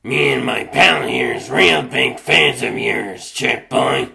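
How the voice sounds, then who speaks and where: A man voicing a thuggish dog henchman in a cartoon comic dub, gravelly and slangy with mock-friendly menace. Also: medium pitch, animated, slow, crowd noise, exaggerated voice. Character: Mad Dog Earl, thuggish dog gangster henchman.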